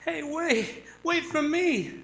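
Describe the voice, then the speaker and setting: A man's voice: very high voice, expressive, slow pace, gravelly, a bit bright, heavily acted. An actor playing a frightened, reluctant young man in a radio drama, speaking in a plaintive, nervous way. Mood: panicky. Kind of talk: old-time radio drama acting.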